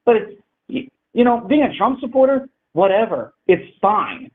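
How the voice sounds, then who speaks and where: A man's voice: sits high, very animated, moderate pace. Blunt man on a tinny call line. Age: 30s. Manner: blunt, repetitive, talks over the other speaker. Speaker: caller debating the host. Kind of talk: heated political debate. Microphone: video call. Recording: tinny phone-like call audio.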